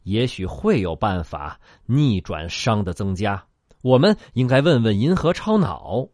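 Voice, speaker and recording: Man acting a low-voiced, dry-sounding future citizen. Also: very animated, measured pace, a little mellow, no room sound. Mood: speculative. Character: far-future man aged 223, measured, unsurprised questioner. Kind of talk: sci-fi radio drama dialogue.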